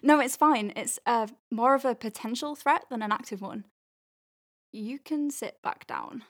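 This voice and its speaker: A woman's voice: a little high, a little slow, dry recording, studio quality, close to an everyday voice. A woman playing a friendly, wry doctor at a space clinic in an audio drama, speaking in a hesitant, conversational way. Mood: unbothered. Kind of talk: naturalistic audio drama acting.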